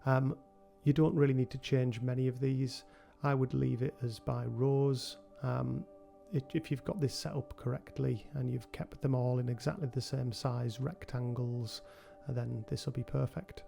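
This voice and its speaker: A man with a cold hosting a relaxed software tutorial, talking through each step with frequent ums. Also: mid-range voice, moderate pace, gravelly, faint music behind. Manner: relaxed, thinking aloud with many ums. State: has a cold. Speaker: game developer tutorial host. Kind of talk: screen-recorded software tutorial.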